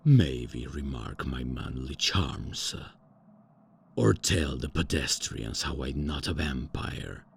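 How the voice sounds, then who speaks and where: A man's voice: animated, takes it slowly, slight background noise, full character voice. A man voicing a pompous, old-fashioned male vampire count who is out of touch with the modern world, in an ASMR roleplay. He talks grandly and formally but keeps stumbling over fillers and correcting himself. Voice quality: deep and gravelly. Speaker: voice actor.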